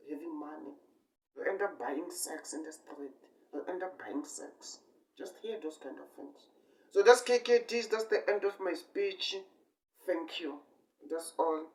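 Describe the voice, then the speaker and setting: The recording is distant, with the microphone set away from the speaker. He is a young man with a fairly high voice for a male. He talks in an improvised, rambling way, halting between short phrases, repeating himself and leaning hard on certain words. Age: 20s. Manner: halting short phrases, repetitive, emphatic bursts. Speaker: amateur online speaker.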